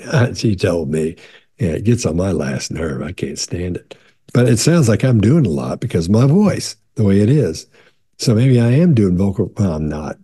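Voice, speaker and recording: A gravelly man in his sixties talks straight to his webcam from a home office, loose and conversational, circling back to reassure the viewer. His voice sits low and very dark, with a husky edge. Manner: conversational, repeats and restarts, leans into reassurance. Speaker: body language expert. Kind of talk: casual Q&A talk to camera.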